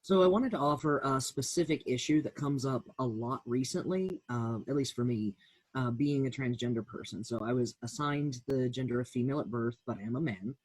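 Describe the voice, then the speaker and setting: Man, panelist, calm earnest manner, husky voice, dry sound, video call. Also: mid-range voice, fairly quick, no echo. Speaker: round table panelist. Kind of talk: panel discussion, personal account.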